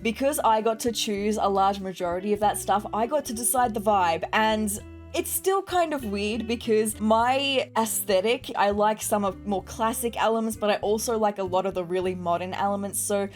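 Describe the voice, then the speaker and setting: A woman's voice: mid-range voice, faint music behind. A young Australian woman narrating a game build in a chatty, apologetic way, sounding slightly nasal while recovering from an illness. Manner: chatty and apologetic, quick asides.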